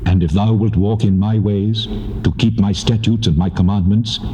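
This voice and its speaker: An actor playing a solemn, benevolent God in a dramatized scripture production, speaking in a measured, majestic manner. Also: male voice, lowish voice, fairly even intonation, warm tone, lightly acted. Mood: solemn promise.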